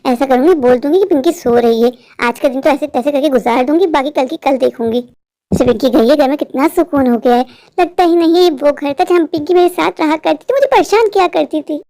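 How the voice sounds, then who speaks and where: A high-pitched, scheming stepmother, acted by a woman in a cartoon moral story. She is cruel, smug and dismissive, recorded very dry. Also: fairly quick, warm tone, dry recording. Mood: gloating, scheming. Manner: sarcastic, self-satisfied, dismissive.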